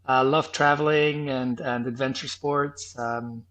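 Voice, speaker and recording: A man in his thirties from Switzerland, speaking with a Swiss accent that carries a faint trace of New Zealand, answering interview questions thoughtfully, with many restarts and pauses. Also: medium pitch, steady tone, a bit bright. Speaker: podcast guest, former health tech startup founder.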